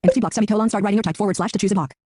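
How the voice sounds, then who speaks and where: A computerised male screen reader rattles off interface labels at very high speed. The tone is slightly dark and mechanical, with clipped phrasing and a dry, roomless sound. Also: very fast, no echo. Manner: clipped, flat synthetic delivery. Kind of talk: robotic readout of interface labels.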